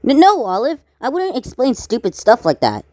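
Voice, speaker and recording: A woman's voice: fairly high pitch, wildly swooping pitch, moderate pace, mellow, dry recording, studio quality. A woman voicing an earnest, flustered oddball male cartoon character with a defensive manner.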